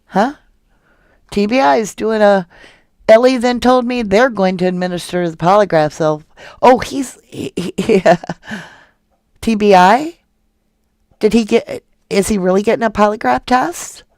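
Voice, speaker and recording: A woman's voice: mid-range voice, measured pace, pauses often, darkish tone, no echo, clean studio sound. Indignant woman reading viewer comments. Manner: indignant, questioning, rhetorical. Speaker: true crime channel host.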